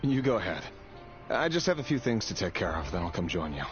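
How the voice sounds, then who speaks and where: Weary young man, video game drama, low voice, measured, earnest, muffled audio. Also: big pitch swings. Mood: affectionate, teasing. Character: weary young man, secret royal executioner, tender but brooding. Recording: dull game audio under loud music.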